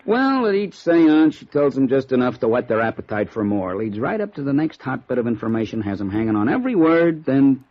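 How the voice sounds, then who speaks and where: A man acting a streetwise, world-weary American police contact in 1950s radio noir, slangy, with a gravelly, husky voice. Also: medium pitch, very animated, brisk, hardly any pauses. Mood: sardonic explaining. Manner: slangy, colloquial. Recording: dull roomy vintage radio recording.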